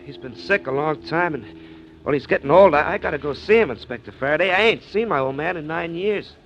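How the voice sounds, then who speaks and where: Shifty young mechanic, stammers, high and bright, vintage radio drama. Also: male voice, expressive, brisk, highs cut off, slight background noise. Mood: nervous. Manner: nervous, stammering. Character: nervous young male airfield mechanic, shifty. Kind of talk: 1940s radio acting.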